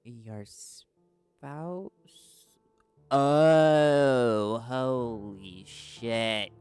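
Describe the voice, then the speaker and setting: Man acting a slow-spoken, defiant captive reporter. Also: medium pitch, a little mellow, soft background music. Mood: alarmed. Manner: hesitant yet defiant. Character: stubborn, wary young male reporter held captive. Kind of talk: audio roleplay drama acting.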